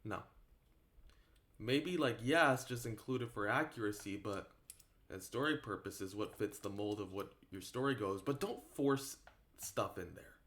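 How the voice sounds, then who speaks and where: A man giving a casual, unscripted opinion talk to his viewers as an online creator, halting and rambling, often repeating himself for emphasis. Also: on the low side, fairly bright tone.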